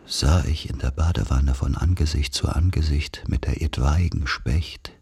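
A man's voice: rumbling low, moderate pace, vocal fry, a little mellow, slight background noise. A man narrating literary, scripted childhood memories for a radio play.

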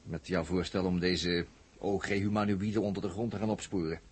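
A man's voice: sits low, steady tone, fairly quick, slight background noise, close to an everyday voice. An actor in a 1960s Dutch radio drama playing a hungry, talkative crewman who rambles and exclaims colloquially. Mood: wry. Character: hungry, amused, talkative male crewman watching others.

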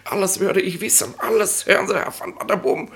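An actor in a German radio play playing a bossy, nosy, smug middle-aged police inspector who rambles colloquially. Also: male voice, sits high, fairly even intonation, fairly quick, creaky, a bit bright, slight background noise. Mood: eager, prying. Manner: colloquial, rambling, officious, tag questions.

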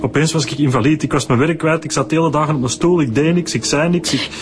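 A man's voice: fairly high pitch, fast, close to an everyday voice. A man playing a bitter older man who lost his leg, speaking in a weary, reluctant voice in a radio drama. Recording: radio play with background music.

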